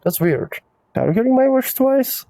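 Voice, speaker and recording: A man whose first language is Czech talks through viewer questions in a loose, rambling way, full of "like" and "um". His voice has a gravelly edge and a mellow, dark tone. The sound is close and dry. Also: very high voice, animated, moderate pace, no room sound. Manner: rambling, filler-heavy, reads questions aloud. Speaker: host answering viewer questions. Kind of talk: casual livestream Q&A.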